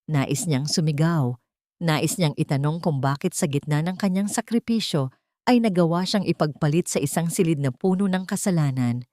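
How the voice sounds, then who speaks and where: A woman with a fairly low voice reads a dramatic audiobook story. Her delivery is solemn and melodramatic. The recording is dry and close, with little room sound. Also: darkish tone, no echo. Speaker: audiobook narrator. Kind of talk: dramatic scripted story narration.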